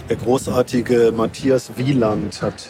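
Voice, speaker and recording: A man's voice: mid-range voice, fairly even intonation, unhurried, loud music behind the voice. A man who works as a comic artist and author, chatting casually in an interview and explaining step by step in everyday words. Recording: clearly noisy, ambient background noise.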